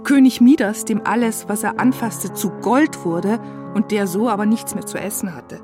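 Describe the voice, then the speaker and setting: A woman narrating reflectively from a script, in deliberate short phrases. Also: medium pitch. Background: music.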